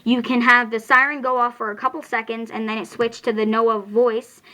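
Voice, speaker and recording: An American speaker giving a casual, halting hands-on product review and tutorial, explaining things informally and correcting slips along the way. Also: mid-range voice, flat delivery, bright, forceful tone, rough recording. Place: indoors at home.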